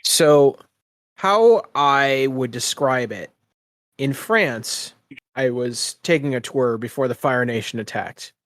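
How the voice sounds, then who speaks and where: A man's voice: medium pitch, expressive, slow, a bit bright, dry recording. A man running a tabletop game as game master, describing scenes in a conversational, improvised way. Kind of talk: casual improvised game narration.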